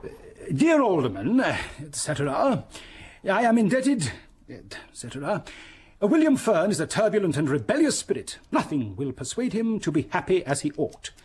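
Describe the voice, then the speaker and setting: A self-satisfied, wealthy English gentleman in period radio drama. The voice is badly rasped and husky, set fairly high, with lively swings of pitch. He pontificates grandly, then dithers and blusters. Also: moderate pace, full character voice. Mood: self-important, dithering. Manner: pompous, blustering, hesitant, grandly sermonizing.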